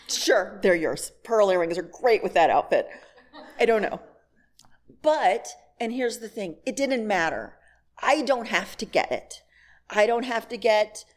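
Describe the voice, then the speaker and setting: A middle-aged woman giving a candid, wry personal talk to a congregation, telling stories and acting out bits of dialogue. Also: medium pitch. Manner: laughs as she talks. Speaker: guest speaker at a church service.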